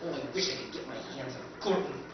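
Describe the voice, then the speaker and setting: A man's voice: mid-range voice, fast, background noise, lightly acted. A man playing an emphatic, exasperated space adventurer in a live recreation of a 1950s radio science-fiction play. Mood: resigned. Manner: emphatic, indignant. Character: exasperated, blustering male space adventurer and narrator. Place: convention performance room, picked up from afar. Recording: echoey convention hall, distant muffled sound.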